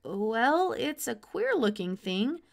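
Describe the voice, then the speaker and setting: Casting: excitable young farm boy for a storybook, played by a woman, high voice, earnest, gushing delivery. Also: slow, creaky, heavily acted. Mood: eager, polite. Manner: exclamatory, run-on, earnest delivery.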